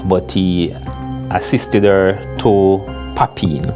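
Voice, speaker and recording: A man giving a measured account in an interview. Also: low-pitched, steady tone. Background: background music.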